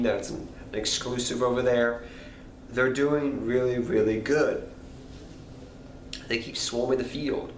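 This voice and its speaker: A man with a cold, sounding nasal, talking casually and rambling to his channel viewers. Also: mid-range voice. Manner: rambling, repeats himself, apologetic. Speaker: card game channel host. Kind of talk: casual talk to camera. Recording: echoey room, faint background noise.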